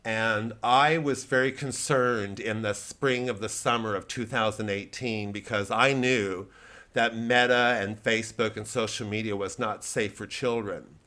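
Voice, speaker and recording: A man's voice: mid-range voice, sharp tone. Man playing a solemn, self-pitying tech whistleblower. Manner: solemn, deliberate, mock-earnest.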